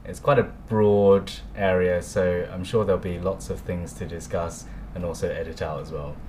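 A man from the United Kingdom hosting a casual podcast chat, friendly and laughing often, with halting restarts. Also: low-pitched, steady tone, a little mellow, slight background noise. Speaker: podcast host.

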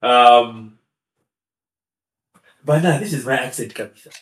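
A man's voice: medium pitch, takes it slowly, a bit bright. A man speaking as a podcast guest, chatty and laughing often, with restarts and asides. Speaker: guest YouTuber. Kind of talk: casual podcast conversation. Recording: echoey room.

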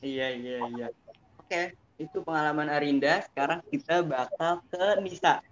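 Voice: medium pitch, unhurried. A young Indonesian host leads a casual interview, asking questions in a conversational way. The recording is dull and lo-fi, with a faint layer of noise. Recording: muffled lo-fi audio with faint noise.

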